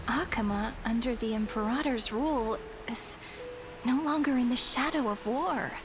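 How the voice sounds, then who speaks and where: An actress playing a candid, thoughtful young heroine in a video game, polite but frank. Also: female voice, on the high side, moderate pace, over music, natural voice. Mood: wary.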